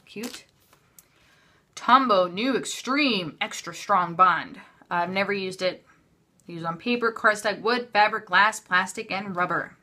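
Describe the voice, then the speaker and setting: Bright-voiced, chatty woman. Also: medium pitch, a little hiss or hum. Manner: chatty, self-interrupting, offhand. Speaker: craft haul vlogger. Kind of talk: casual unscripted show-and-tell. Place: indoors at a craft table.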